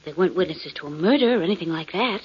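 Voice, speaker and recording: An actress playing a lively, inquisitive young female sidekick with a pert, eager manner in a 1940s radio drama. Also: fairly low pitch, very fast, husky. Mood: curious. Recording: dull, noisy 1940s radio recording.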